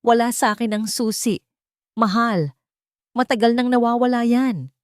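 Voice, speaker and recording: A woman's voice: on the high side, normal speed, no echo, clean studio sound, pitched above the performer's own voice, natural voice. A woman voicing a scheming, coaxing, anxious wife in an audio story. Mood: panicky. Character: scheming, falsely sweet wife of a millionaire.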